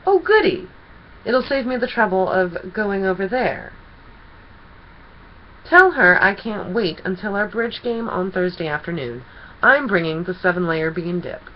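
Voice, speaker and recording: A woman's voice: mid-range voice, animated, unhurried, lo-fi sound, slight background noise, close to an everyday voice. A woman voicing a gleeful, teasing male chaos creature from a children's storybook, with theatrical mock innocence and gushing delight. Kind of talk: children's storybook character reading.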